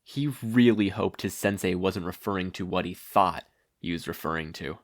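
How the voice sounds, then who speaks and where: A person narrating a story as an audiobook-style reading, with expressive delivery. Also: low-pitched, moderate pace. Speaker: fan fiction podfic reader.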